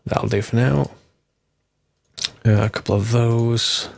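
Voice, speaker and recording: A man, his voice slightly hoarse from a cold and gravelly with fry. He talks through a game tutorial casually, rambling and correcting himself as he goes. The sound is dry and close, with no room around it. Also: medium pitch, steady tone, slow pace, dry recording. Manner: rambling, self-correcting, thinking aloud.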